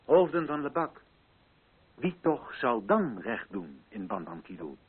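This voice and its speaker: Solemn orating colonial official, swooping delivery. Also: male voice, mid-range voice, very animated, husky, phone-quality sound, exaggerated voice. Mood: stern, urgent. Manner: solemn, rhetorical, deliberate phrasing. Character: solemn colonial official, a man, addressing assembled village chiefs. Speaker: actor in a radio play. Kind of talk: declaimed theatrical oratory in a radio play.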